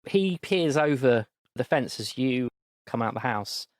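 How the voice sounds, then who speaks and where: Man running a tabletop game, describing scenes in a relaxed way, dry close mic. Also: medium pitch, a little slow, no room sound. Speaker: game master.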